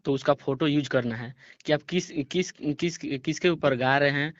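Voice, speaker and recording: A man's voice: a little high, moderate pace, no echo. A man defending himself in a phone conversation, repeating words and hesitating while addressing the other person respectfully. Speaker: singer being interviewed. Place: phone call recording. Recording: dull lo-fi recorded phone call.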